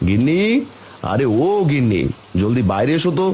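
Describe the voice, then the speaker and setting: A man voicing a village farmer and family man in a cartoon, speaking in a familiar, expressive way. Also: medium pitch, normal speed, a little hiss or hum, lightly acted. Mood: homely, resigned. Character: worried village farmer, husband and father. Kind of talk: cartoon voice acting.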